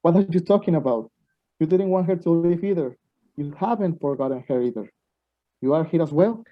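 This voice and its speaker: Male actor for an impatient, smitten student in radio drama; earnest, raised pitch, lo-fi audio. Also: lively intonation, a little fast, natural voice. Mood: earnest, defensive. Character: anxious, impatient lovestruck young male student. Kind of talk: amateur radio play acting. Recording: dull lo-fi home recording.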